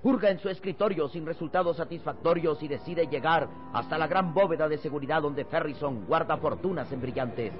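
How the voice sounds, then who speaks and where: A man's voice: on the high side, fairly quick, hardly any pauses. A man narrating an old radio serial in a dramatic, emphatic, theatrical style. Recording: dull lo-fi old radio, loud music.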